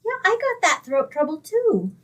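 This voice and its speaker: A woman's voice: very high voice, wildly swooping pitch, moderate pace, slight background noise, heavily acted. A woman playing a sweet, naive, scatterbrained young single woman in old-time radio comedy style. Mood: naive, chatty. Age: young adult. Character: sweet, dim-witted, literal-minded young single woman.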